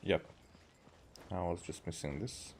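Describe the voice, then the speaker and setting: A man from Hungary with a Hungarian accent, musing aloud haltingly as he gives casual gameplay commentary. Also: sits low, steady tone, noisy background. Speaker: game streamer.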